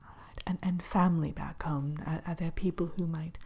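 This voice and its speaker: A woman playing a haughty, grudging witch innkeeper in a whispered ASMR roleplay, speaking in prim, old-fashioned phrases with hesitant pauses. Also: low voice, fairly even intonation, darkish tone, breathy edge. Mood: businesslike, probing.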